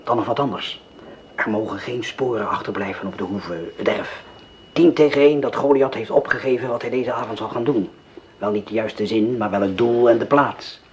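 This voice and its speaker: A man's voice: medium pitch, normal speed, highs cut off, slight background noise, natural voice. Man playing a cold commanding gang leader. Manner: calm, precise, giving orders. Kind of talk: radio drama acting.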